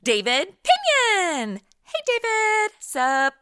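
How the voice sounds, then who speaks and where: A woman's voice: extremely high pitch, huge pitch swings, slow, sharp tone, no echo, clean studio sound. A woman hosting a podcast in a breezy, slangy, casual interview style. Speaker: podcast host.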